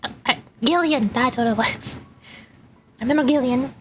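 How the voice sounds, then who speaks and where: A woman's voice: high-pitched, moderate pace. A woman streamer chatting casually and rambling during a game livestream, with noise behind her. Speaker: streamer, virtual YouTuber.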